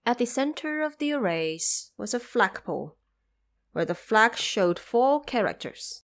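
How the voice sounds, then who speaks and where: Expressive woman narrating a classic epic. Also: fairly high pitch, unhurried.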